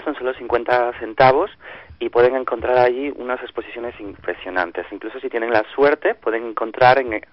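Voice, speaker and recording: A man from Ecuador talks over a dull, lo-fi phone line at a middle pitch. He hesitates often and starts his sentences over, yet he speaks warmly and eagerly about his hometown's food and festivals. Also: no room sound. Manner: hesitant, many fillers and restarts, enthusiastic about his town. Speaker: festival organizer guest. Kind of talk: radio interview answers.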